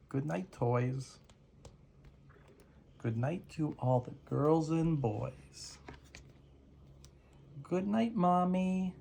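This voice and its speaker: Bedtime storyteller, parent type, unhurried and gravelly, rhythmic rhymes, slightly noisy amateur home recording. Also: mid-range voice, a little mellow. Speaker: parent reading bedtime stories. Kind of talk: reading picture books aloud to a toddler.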